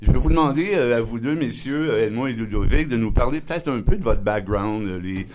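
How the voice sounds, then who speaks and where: A man interviewing two soccer coaches, asking polite and measured questions. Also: mid-range voice, animated, a little mellow. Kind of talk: radio interview.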